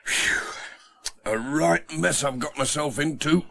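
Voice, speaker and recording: Grumbling storybook farmer, flustered, slow-spoken, high and creaky, faintly noisy audiobook tape. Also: male voice, pushed and bright, full character voice. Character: flustered male farmer on a tractor, grumbling, stuck. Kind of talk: children's storybook audiobook character voice.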